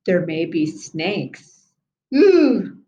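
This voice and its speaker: A woman voicing curious, eager young boys in a children's storybook, with an excited, questioning manner. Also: fairly low pitch, extremely slow, dull audio, slight background noise, heavily acted. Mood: wary.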